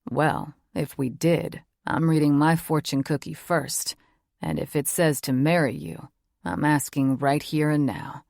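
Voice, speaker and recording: Woman doing a teasing young boyfriend, low voice, dry close sound. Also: a little slow, vocal fry, darkish tone, no room sound. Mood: playful. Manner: teasing, earnest, swears casually. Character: young man, playful devoted boyfriend. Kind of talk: audiobook character voice.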